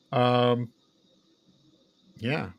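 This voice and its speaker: A man hosts a casual review discussion in a conversational way. His voice sits at a middling pitch, and the recording is dry, with very little room sound. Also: gravelly, no room sound. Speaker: host of a film ranking show.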